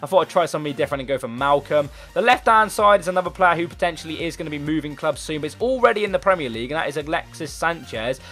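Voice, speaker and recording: Quick chatty guy, sore throat, slightly high voice, faint music behind. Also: fairly bright tone. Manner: chatty, rambling, enthusiastic. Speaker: gaming channel host. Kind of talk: casual gaming commentary.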